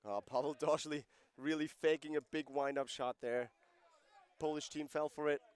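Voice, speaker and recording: A man's voice: a little high, fairly even intonation, moderate pace, darkish tone. A man giving live play-by-play commentary on a lacrosse game, conversational and explaining rules and player backgrounds between plays.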